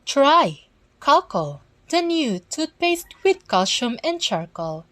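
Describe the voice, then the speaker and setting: A woman's voice: high-pitched, sing-song, slow, music in the background. A woman reading a scripted commercial as an announcer. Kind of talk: scripted toothpaste ad read. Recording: faint background noise.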